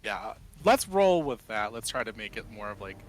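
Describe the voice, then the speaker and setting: A man's voice: on the low side, big pitch swings, normal speed, faint music behind. A man playing a tabletop roleplaying game, casually describing what his character does.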